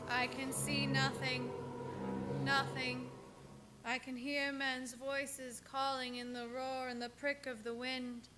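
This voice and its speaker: A woman's voice: sits high, flat delivery, over loud music. A woman playing an entranced, haunted young woman speaking as if from a trance in a radio play. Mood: dreamy, eerie. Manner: trance-like, drawn-out. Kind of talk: old-time radio acting.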